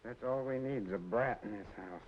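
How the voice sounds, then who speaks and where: A man's voice: lowish voice, normal speed, close to an everyday voice. An actor playing a sour, grumbling working-class father in a mid-century film noir. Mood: scornful. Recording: muffled old soundtrack, faint noise.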